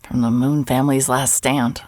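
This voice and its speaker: An actress playing a weary, guarded woman in an audio drama, speaking in a hushed, breathy, halting way. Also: very deep voice, little pitch movement, no room sound. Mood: weary, grateful. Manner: hesitant, subdued, halting. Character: weary, guarded, apologetic woman, quietly grieving.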